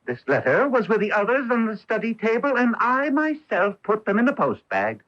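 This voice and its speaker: A prim snooty secretary, old radio drama, higher voice, muffled sound. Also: male voice, very animated, moderate pace. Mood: disapproving. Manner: prim, clipped, disapproving. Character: officious, haughty male secretary to a Duke. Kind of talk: old-time radio mystery drama acting. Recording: dull lo-fi AM radio broadcast.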